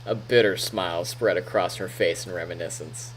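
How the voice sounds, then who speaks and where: A man, a streamer reading a visual novel aloud in an expressive storytelling style with casual asides. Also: sits low, moderate pace, slight background noise, low-quality recording.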